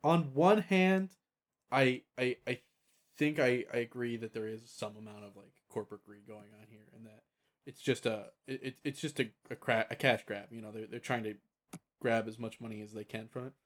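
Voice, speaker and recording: A man with an average-pitched voice speaks as a podcast co-host in a casual debate. His delivery is measured and hesitant, often doubling back on words as he weighs his point. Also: fairly even intonation. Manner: hesitant, stammered restarts, hedging and measured. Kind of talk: casual discussion.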